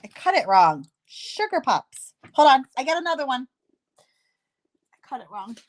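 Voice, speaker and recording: Chatty craft host, lively and a bit hoarse. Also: female voice, high voice, a little slow. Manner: chatty, self-deprecating, laughs often. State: slightly hoarse, stuffy nose. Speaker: card-making tutorial host. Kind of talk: casual craft tutorial.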